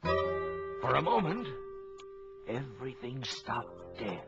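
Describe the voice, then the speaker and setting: A man's voice: medium pitch, big pitch swings, slow, vocal fry, heavily acted. A man playing an authoritative ship's captain who narrates a suspenseful animal adventure for a children's story record. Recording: old lo-fi children's record, music behind.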